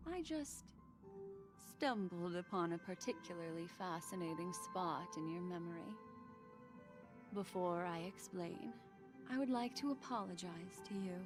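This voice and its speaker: An enigmatic game woman who speaks slowly and with poise over clear background music. She is coy and seductive, teasing her listener in elegant, theatrical phrases. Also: on the low side. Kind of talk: scripted video game character dialogue.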